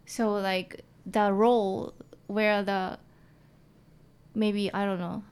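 A young adult woman from Japan with a thick Japanese accent, a comedian speaking English as a second language, talking casually with halting phrasing and many fillers. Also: mid-range voice, level tone, a little mellow, smooth. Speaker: comedian guest. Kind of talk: casual interview chat.

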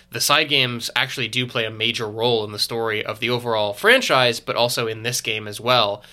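A congested man with a bright, tense tone chats as a guest on a podcast. He talks in a thoughtful, measured way, hedging his opinions. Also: medium pitch. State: congested from allergies. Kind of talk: casual podcast chat.

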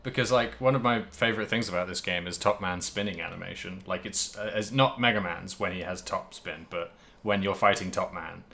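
Low-pitched man in casual chat. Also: fairly quick, vocal fry, bright, forceful tone. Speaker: podcast co-host. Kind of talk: casual comedic chat about a video game.

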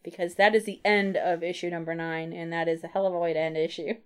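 A woman's voice: lowish voice, fairly even intonation, fast talker, smooth. A woman co-hosting a podcast, talking in an informal, conversational way as she recaps a comic book. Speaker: podcast co-host. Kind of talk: casual chat, recapping comic books.

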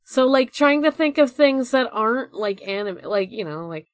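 A woman's voice: a little high, animated, moderate pace, no room sound, studio quality. A woman co-hosting a podcast with casual, rambling, sweary banter and a giggly way of talking. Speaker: podcast co-host.

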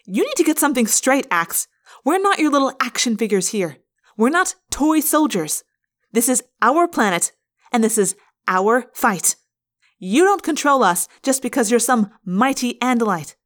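A woman's voice: a little high, sing-song, moderate pace, voice pushed up. Woman voicing a fierce, snapping teenage girl. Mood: angry. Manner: yelling, snapping, blunt. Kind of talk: audiobook character voice.

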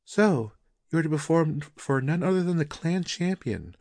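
A man voicing a gentle, devoted wife in a story, with soft, measured and formal speech. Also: a little high, lively intonation, no room sound, studio quality, voice pushed up. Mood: proud. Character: gentle, devoted wife of a Japanese musician, proud and concerned. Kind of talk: audiobook character dialogue.

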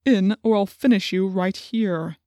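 A woman's voice: fairly low pitch, moderate pace, no room sound, studio quality, natural voice. A woman voicing a cruel alien slug that controls a male assistant principal, threatening and commanding through gritted teeth in an audiobook story. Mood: snapping orders.

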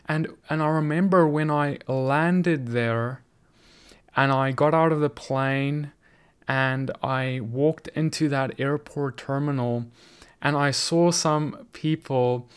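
A man's voice: fairly high pitch, fairly even intonation, slow pace, smooth. A middle-aged South African man whose first language is Afrikaans, giving an earnest, conversational sermon on video with frequent restarts. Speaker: preacher.

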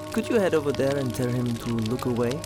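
A man plays a sadistic thug boss with a threatening delivery. His voice sits well below the performer's usual pitch, with loud music running behind it. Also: normal speed, natural voice. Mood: menacing. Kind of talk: voice acting demo reel.

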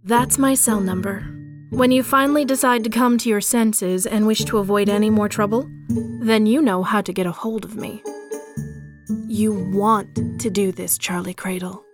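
A woman's voice: mid-range voice, steady tone, normal speed, over music, lightly acted. Smug, taunting female office rival played by a woman. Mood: menacing. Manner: mock-sympathetic, taunting. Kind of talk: audio drama acting.

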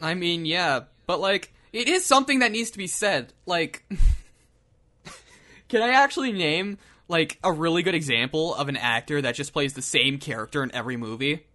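A man in casual, joking podcast chat, telling stories with an animated, laughing delivery. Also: on the high side, moderate pace.

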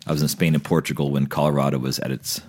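A man with a very low, deep voice talks in a relaxed podcast chat. He laughs easily and keeps the conversation friendly. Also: fast, soft background music. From New Mexico. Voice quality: naturally deep voice. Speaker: podcast co-host. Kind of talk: casual chat.

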